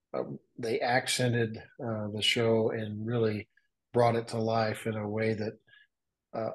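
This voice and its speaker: Husky older man, measured delivery. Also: lowish voice, rough recording. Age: 60s. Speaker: podcast guest and father of the host. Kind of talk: casual podcast conversation.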